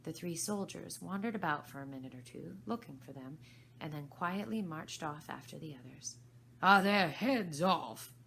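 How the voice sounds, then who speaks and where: Low-voiced, creaky woman reading a story. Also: lively intonation, moderate pace, a little hiss or hum. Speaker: volunteer story reader. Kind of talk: reading a children's storybook aloud.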